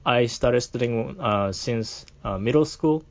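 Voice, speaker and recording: A man's voice: medium pitch, takes it slowly, lo-fi sound. A young man from Japan with a Japanese accent, chatting as a podcast guest, hesitant with many fillers and restarts. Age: young adult. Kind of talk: casual interview chat.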